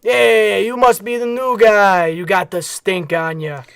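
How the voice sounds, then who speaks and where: A man's voice: high-pitched, steady tone, unhurried, vocal fry, a bit bright, soft background music, heavily acted. A man playing an easygoing, streetwise veteran henchman, casual and chummy, in a comedic radio play. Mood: chummy, wry. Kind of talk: comedic radio theatre acting.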